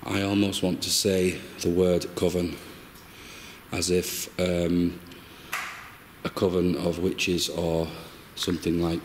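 A man speaks very slowly and haltingly, searching for words as he works as a medium on a ghost hunt. His voice sits deep, and the noise of the cave location is audible behind him. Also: flat delivery. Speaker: paranormal investigator acting as medium. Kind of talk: describing impressions and calling out to spirits on a ghost hunt. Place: inside a cave at night. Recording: clear background noise and ambience.

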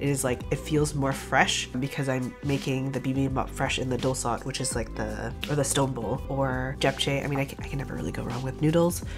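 A woman in her thirties, stuffy from a cold, chatting through a cooking voice-over with many rambling asides and "like"s. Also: a bass voice. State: congested from a cold. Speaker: vegan cooking channel host. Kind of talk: casual voice-over cooking tutorial.

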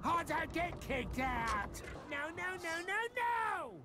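A man's voice: very high voice, sing-song, takes it slowly, full character voice. A man voicing a shamed, vengeful orc warrior in a video game, snarling and wailing through a tormented rant. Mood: anguished panic. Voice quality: gravelly, snarling. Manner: ranting, wailing, menacing. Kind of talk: video game character acting. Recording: loud music behind the voice. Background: loud game music.

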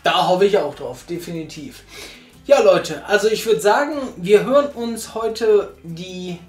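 A man's voice: sits high, reverberant. A man hosting a casual review chat from a sofa, posing rhetorical questions to viewers. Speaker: host. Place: small living room, on a sofa. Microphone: far camera.

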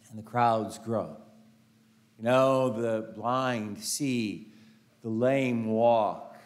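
Older priest telling chatty stories. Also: male voice, mid-range voice, darkish tone. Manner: chatty storytelling, frequent "you know", laughs easily. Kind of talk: conversational homily. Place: large carpeted church sanctuary. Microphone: lapel mic, walking in front of altar.

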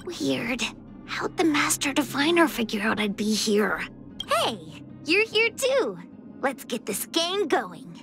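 A woman's voice: high-pitched, very animated, slow, vocal fry, a bit bright, faint music behind, heavily acted. A woman voicing a laid-back, playful, lazy young woman in a video game, breezy and casual.

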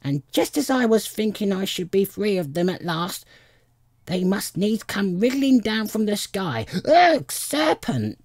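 Shrill pigeon, high-pitched, agitated, scolding, husky edges, indignant complainer. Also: big pitch swings, unhurried, darkish tone, no echo, studio quality. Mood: shrill outrage. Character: agitated, scolding female pigeon, frantic and contemptuous.

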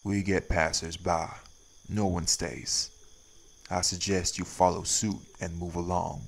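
Man acting low, gravelly, weary wraith priest. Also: little pitch movement, measured pace, exaggerated voice. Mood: stern, dry. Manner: laconic, folksy, drawled nonstandard grammar. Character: stern, weary male wraith priest guarding his town. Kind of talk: scripted audio roleplay acting.

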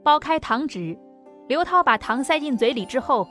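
Higher-pitched woman narrating over music. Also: very animated, moderate pace, fairly bright tone. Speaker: audiobook narrator. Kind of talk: scripted dramatic narration of a novel. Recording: music under the voice.

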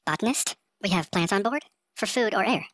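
A man's voice: high-pitched, expressive, a little fast, a bit bright, no echo, studio quality, pitched above the performer's own voice. A man voicing a polite, curious female newcomer aboard a spaceship in a sci-fi story, with a questioning manner. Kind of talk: sci-fi audiobook character.

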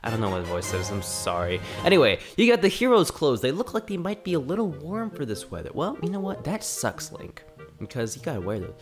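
A man's voice: a little high, huge pitch swings, fairly quick. A man in his twenties with a cold, rambling through a story while casually commenting on his gameplay. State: has a cold. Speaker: let's play host. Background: game music.

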